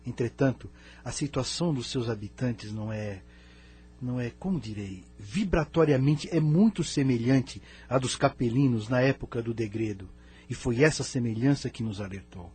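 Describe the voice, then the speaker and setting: Husky, solemn venerable elder spirit, acted by a man, Brazilian. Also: medium pitch, warm tone. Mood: grave, concerned. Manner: solemn, preaching, measured. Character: venerable male spirit elder from another planet, grave and wise. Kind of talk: Brazilian spiritist audio drama acting. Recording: music bed behind the voice.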